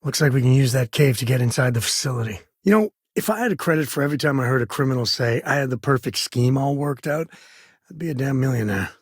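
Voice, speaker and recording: A man playing a roguish frontier ranger and protective father in a space video game, with a husky voice and a folksy, wry manner. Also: mid-range voice, expressive, fast, dry recording, studio quality. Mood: wry, conversational. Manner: folksy, wry, casual drawl of words. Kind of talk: video game voice acting, short dialogue lines.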